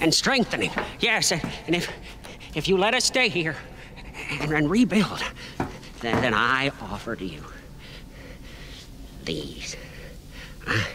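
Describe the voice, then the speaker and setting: An actor playing a manic, devout wasteland car mechanic in a video game, babbling and stammering with zealous reverence. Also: male voice, mid-range voice, background noise. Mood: pleading, nervous. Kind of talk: theatrical video game character acting.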